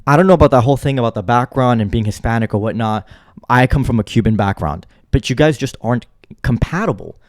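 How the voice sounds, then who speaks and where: A man's voice: medium pitch, animated, quick pace, no echo, studio quality. A man reacting to a clip in blunt commentary, lecturing and repeating his points for emphasis.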